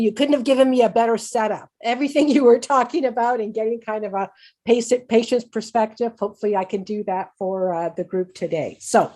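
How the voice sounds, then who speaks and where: A woman's voice: mid-range voice, darkish tone. Canadian woman of sixty-three, candid speaker. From Canada, raised in Hamilton, Ontario. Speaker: patient speaker at a conference. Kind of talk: personal presentation, conversational.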